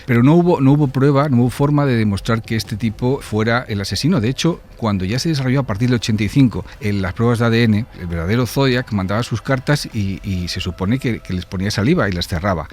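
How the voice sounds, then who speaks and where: A man's voice: medium pitch, fast, few pauses, darkish tone, a little hiss or hum. A man explaining a criminal case in spontaneous, conversational talk as a podcast commentator.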